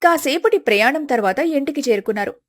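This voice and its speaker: A woman's voice: high-pitched, expressive, quick pace. A woman storyteller reading a scripted family story as narration.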